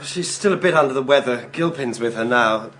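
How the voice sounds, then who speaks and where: A man's voice: mid-range voice, fairly quick, fairly bright tone, soft background music, natural voice. An actor playing a hot-tempered, fretful British fiancé in a radio drama, blurting out his words. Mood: contrite.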